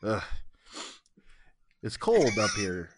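A man's voice: on the low side, huge pitch swings. Congested man hosting a podcast. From Arkansas, American South. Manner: playful, jokey, drawn-out delivery. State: congested, sniffly. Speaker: podcast host. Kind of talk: casual trivia podcast chat.

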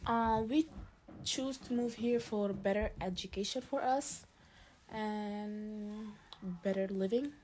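A teenage girl from Lebanon, a high school student answering interview questions in a casual, hesitant way with many fillers and restarts. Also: slow, a little mellow, slight background noise. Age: teens.

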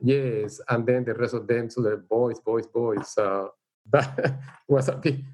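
A middle-aged man from El Salvador with a Salvadoran Spanish accent, speaking English as a second language in a casual interview, halting and unhurried, with frequent fillers. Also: mid-range voice, fairly even intonation, a little mellow. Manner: unhurried, halting, with frequent "you know" and light laughs. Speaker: interviewee, teacher. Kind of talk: casual life-story interview.

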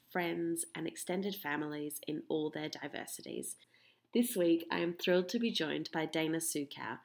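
A woman hosting a podcast, reading a soft scripted intro and then asking eager, friendly questions. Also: on the low side, gravelly. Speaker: podcast host. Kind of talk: podcast interview.

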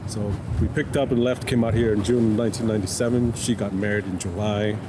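A man's voice: lowish voice, fairly even intonation, a little fast. A man in his thirties from Tonga, whose first language is Tongan, speaking thoughtfully in an interview and often restarting his sentences. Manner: thoughtful, searching, many restarts. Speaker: artist and guest. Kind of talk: reflective conversational interview. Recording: noisy background.

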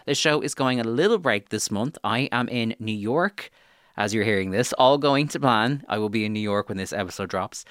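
A man's voice: fairly low pitch, animated, normal speed, fairly bright tone. An Irish man hosting a podcast, chatting in a casual, informal interviewing style full of asides. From Ireland. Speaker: podcast host.

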